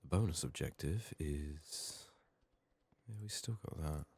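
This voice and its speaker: A man giving soft-spoken ASMR game commentary, murmuring haltingly and slipping into whispers, his voice strained from being sick. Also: a bass voice, expressive, creaky, husky. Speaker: ASMR gaming video host.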